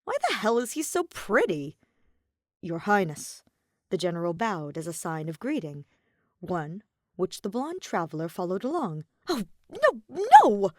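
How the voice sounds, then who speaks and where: A woman reading fiction aloud as an expressive scripted storyteller. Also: lowish voice, normal speed, dry recording, clean studio sound. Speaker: podfic reader.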